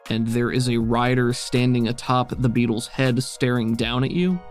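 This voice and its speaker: A man acting as game master, narrating and describing scenes for a tabletop game. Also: mid-range voice.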